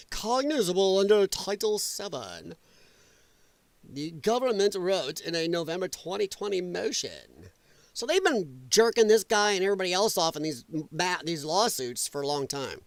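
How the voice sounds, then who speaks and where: A man's voice: high voice, dry recording. A man hosting a livestream, reading news aloud with exasperated, sarcastic, profane commentary. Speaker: livestream host. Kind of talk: opinionated news commentary rant, reading an article aloud with asides.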